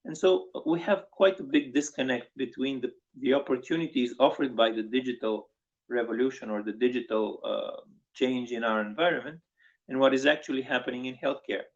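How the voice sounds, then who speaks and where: A man from Bulgaria, a guest on an online panel, explaining points in a measured way with frequent restarts. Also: mid-range voice. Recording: lo-fi online call audio.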